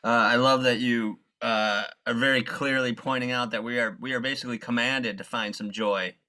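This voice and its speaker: Earnest, halting male interviewer. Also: medium pitch, fairly even intonation, pushed and bright. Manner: hesitant, full of fillers and restarts, earnest. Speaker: interviewer, facilitator. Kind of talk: interview hosting.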